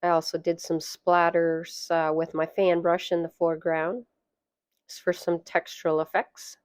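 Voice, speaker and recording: A woman narrating a watercolor painting tutorial, hoarse and losing her voice, soft-spoken and chatty. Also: fairly low pitch, flat delivery, measured pace, no room sound, studio quality. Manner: soft-spoken, chatty, with fillers and light laughs. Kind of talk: relaxed voice-over tutorial.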